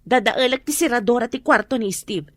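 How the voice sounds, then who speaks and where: An actress playing a greedy, bossy wife in an Ilocano radio drama, with an Ilocano accent and a sharp, demanding delivery. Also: female voice, on the high side, a little fast, no echo, studio quality, natural voice. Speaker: scheming lady of the house.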